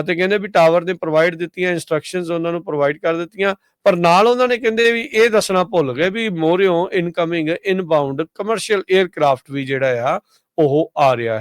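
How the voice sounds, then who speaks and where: Smooth higher-voiced Punjabi man reading news. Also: no room sound, clean studio sound. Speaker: radio news presenter. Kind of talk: conversational radio news report.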